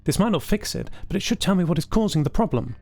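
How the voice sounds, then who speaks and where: A man's voice: high-pitched, expressive, fast talker, warm tone, no echo, faint music behind, voice pushed up. A man voicing a friendly, helpful female radio technician in a casual audio drama conversation. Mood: focused, explaining. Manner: casual, easygoing, chatty. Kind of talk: scripted audio drama dialogue.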